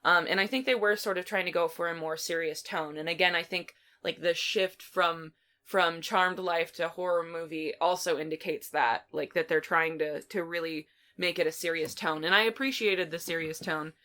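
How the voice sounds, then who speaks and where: This is a woman hosting a casual film podcast. Her voice is bright and sits a little low. She talks conversationally, rambling and rephrasing as she goes. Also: steady tone, normal speed. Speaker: podcast host.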